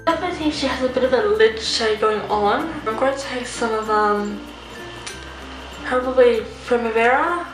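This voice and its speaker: A woman's voice: fairly high pitch, normal speed. A young woman, a little nasal from a cold, chatting casually through a makeup tutorial with lots of ums. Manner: chatty, rambling, many ums. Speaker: beauty vlogger. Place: room at home. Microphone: phone camera. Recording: roomy home recording with music. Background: soft background music.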